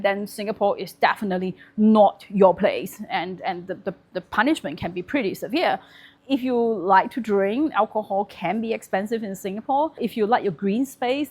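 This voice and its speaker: A woman in her forties, born in Malaysia with Chinese as her first language, talking frankly and casually to camera as a property and wealth vlogger. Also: mid-range voice. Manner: frank and conversational, thinking aloud.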